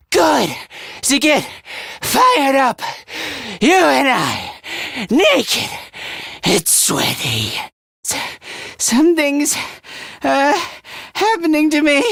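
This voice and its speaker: Shy self-deprecating anime game boy, high stammery voice, really up and down, dry close sound. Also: gravelly, fairly bright tone, dry recording, full character voice. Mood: feverish, stubborn. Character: timid, self-deprecating, excitable teenage boy. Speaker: voice actor. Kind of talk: theatrical anime-style game acting.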